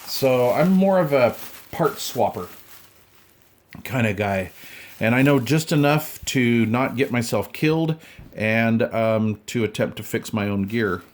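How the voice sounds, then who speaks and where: A man's voice: mid-range voice, measured pace. A man in his fifties chatting casually through an unboxing, in a folksy, rambling way with informal slang. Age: 50s. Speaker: radio hobbyist vlogger.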